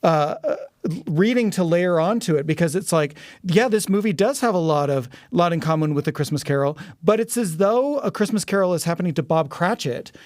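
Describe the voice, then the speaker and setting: High-voiced man chatting about film. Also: lively intonation, fairly quick, darkish tone, no echo, studio quality. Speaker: podcast guest, audio drama producer. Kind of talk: conversational film discussion.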